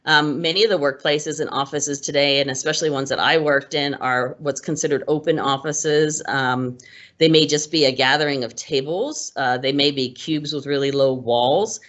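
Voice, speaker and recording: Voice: medium pitch, moderate pace, a bit bright. A presenter explaining workplace manners in a casual, conversational way, with frequent ums and restarts. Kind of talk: casual instructional talk. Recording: muffled lo-fi recording.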